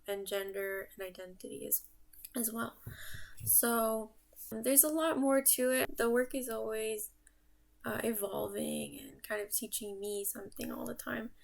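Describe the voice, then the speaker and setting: A woman's voice: medium pitch, gravelly. A young woman from the United States, an artist introducing herself in a casual vlog, talking in a reflective, thinking-aloud way. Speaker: artist and vlogger. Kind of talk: casual self-introduction to camera.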